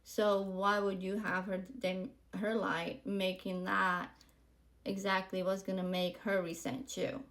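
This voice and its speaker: A woman's voice: mid-range voice, little pitch movement, unhurried, a bit bright. A woman in her forties from Puerto Rico, hosting her podcast in a candid, chatty monologue full of ums and likes. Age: 40s. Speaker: podcast host. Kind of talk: casual monologue to camera.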